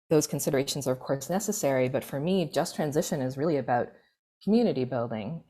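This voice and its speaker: Woman, very deep voice, Canadian, conference panelist, earnest, measured delivery.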